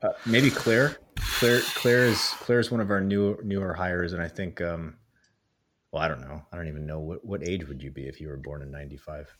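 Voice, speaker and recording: A man's voice: low-pitched, brisk, fairly bright tone, a little hiss or hum. A young man interviewing a colleague in a casual, rambling way, full of fillers and restarts.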